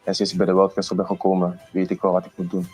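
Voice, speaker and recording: A man's voice: sits low, completely flat delivery, racing, darkish tone, soft background music. A young man giving a hesitant testimonial, searching for his words. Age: young adult. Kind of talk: testimonial interview.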